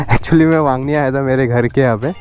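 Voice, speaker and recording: A man's voice: mid-range voice, steady tone, normal speed, darkish tone, soft background music, rough recording. A young man chatting casually to viewers as a motovlogger, outdoors on a road. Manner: chatty, addresses viewers as guys and brother. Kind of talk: casual vlog chat.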